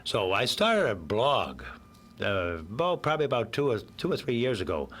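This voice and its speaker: An older man with a hoarse voice from a cold, a guest in a radio interview who rambles a little and jokes and laughs at his own quips. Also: mid-range voice, huge pitch swings, moderate pace, a bit bright.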